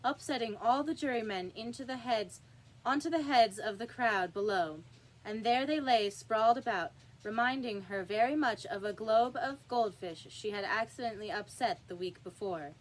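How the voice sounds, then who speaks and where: A woman's voice: a little high, normal speed, rough recording. A woman reading a children's classic aloud as a storyteller, with emphatic, acted-out delivery. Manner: emphatic, acts out character dialogue. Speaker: storybook reader.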